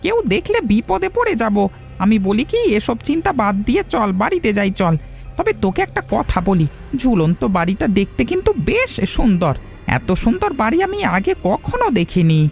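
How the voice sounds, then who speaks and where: A voice actor playing a cowardly, nervous village man, the reluctant excitable sidekick in a moral-story cartoon. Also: medium pitch, a little fast, vocal fry, soft background music. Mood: doubtful, wary.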